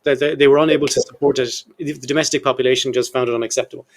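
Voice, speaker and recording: Fast-talking irreverent fifty-year-old man. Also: medium pitch, steady tone. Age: 50s. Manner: irreverent, jokey, swears casually; reads out long historical quotes with his own asides. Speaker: podcast host. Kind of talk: casual history podcast chat.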